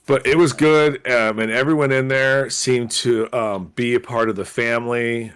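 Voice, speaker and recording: A man's voice: medium pitch, fairly even intonation, normal speed, fairly bright tone, smooth. A man co-hosting a casual podcast, rambling with lots of fillers and restarts. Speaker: podcast co-host. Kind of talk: casual chat. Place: Los Angeles area.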